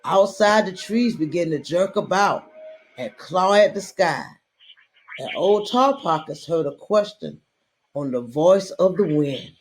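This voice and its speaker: Slow, expressive woman reading a story aloud. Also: fairly low pitch, low-quality recording. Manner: deliberate, expressive, drawn-out phrasing. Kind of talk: dramatic read-aloud narration for children.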